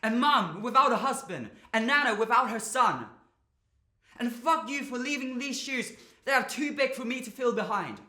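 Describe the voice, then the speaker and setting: A man's voice: a little high, very animated, moderate pace, bright, forceful tone. A young man acting a dramatic monologue as a drained, burdened young man, confiding with bitter, volatile emotion. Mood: anguished, furious. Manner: confessional, sweary, emotionally volatile. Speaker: drama student actor. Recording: echoey room.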